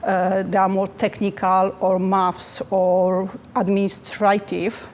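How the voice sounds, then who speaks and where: Flat-voiced Polish-accented woman. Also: medium pitch, slight background noise. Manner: careful, halting, non-native phrasing. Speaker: finance manager. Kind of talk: interview answers.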